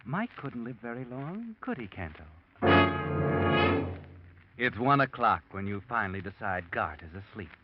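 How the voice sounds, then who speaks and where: A sly, taunting radio-drama narrator, lively voice, dull old recording, music under. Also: male voice, on the low side, unhurried, long pauses. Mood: sinister. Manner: insinuating second-person narration. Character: mysterious male narrator taunting a murderer.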